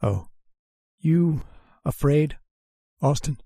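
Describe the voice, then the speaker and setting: Man playing a quiet, husky, brave explorer. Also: a little high, very slow, no echo, studio quality, exaggerated voice. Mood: growing unease. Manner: quiet, steady, hesitant under strain. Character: brave, quietly reassuring male tomb explorer. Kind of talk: horror radio drama dialogue.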